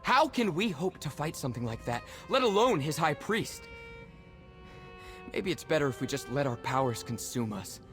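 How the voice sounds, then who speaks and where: A man's voice: fairly high pitch, sing-song, moderate pace, soft background music. A man playing an anxious young man burdened by dangerous magic in a fantasy game, speaking in an earnest, emotional way. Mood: despairing. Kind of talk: dramatic fantasy game acting.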